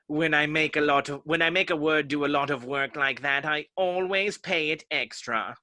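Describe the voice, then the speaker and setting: A man's voice: on the high side, moderate pace, bright, forceful tone. A man playing a haughty, touchy, pedantic egg character in a dramatic reading of a children's classic. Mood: pedantic, dismissive.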